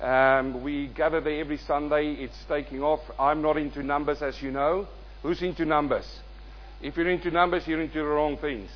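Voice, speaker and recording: Dull-recorded preacher quizzing his congregation. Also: on the high side. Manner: calls out questions to the congregation; swells loud in prayer, drops soft at amens. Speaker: guest preacher. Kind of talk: sermon with prayer.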